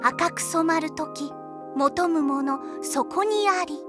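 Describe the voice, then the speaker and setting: High-pitched, pompous schoolgirl fortune-teller. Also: slow pace. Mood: mystical, theatrical. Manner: theatrical, pompous, salesy, easily flustered. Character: pushy teenage schoolgirl posing as mystic fortune-teller. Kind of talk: Japanese anime comedy audio drama acting. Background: background music.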